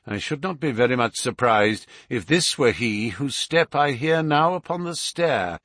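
A man voicing a keen, commanding male detective in a storybook, with brisk, formal, authoritative phrasing. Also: mid-range voice, expressive, a bit bright, no room sound, studio quality. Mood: decisive.

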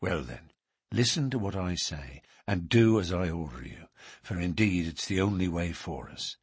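Guy doing a solemn young outlaw man in an audiobook, urgent, deliberate, rough husky voice, very close and dry. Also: sits low, animated, no room sound, full character voice. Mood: low urgent pleading.

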